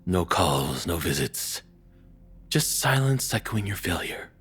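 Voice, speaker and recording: Very deep, husky, menacing abusive ex played by a man. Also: big pitch swings, a bit bright, heavily acted. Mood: cold contempt. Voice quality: breathy, husky low voice. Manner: slow, taunting, drops into whispers. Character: cruel, controlling, abusive ex-boyfriend, menacingly belittling. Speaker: voice actor. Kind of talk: audio roleplay, intimate dramatic monologue.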